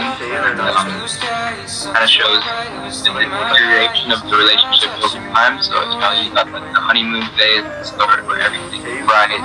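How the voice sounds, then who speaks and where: A young man answering interview questions on a video call, relaxed and hesitant with many fillers. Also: lowish voice, poor audio. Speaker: guest musician. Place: indoor room.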